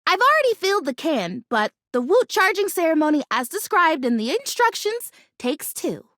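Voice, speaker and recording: A woman voicing an earnest, excitable houseplant who dreams of being real, as a video game character with dramatic, expressive delivery. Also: very high voice, moderate pace, no echo, studio quality, full character voice. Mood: hopeful, excited.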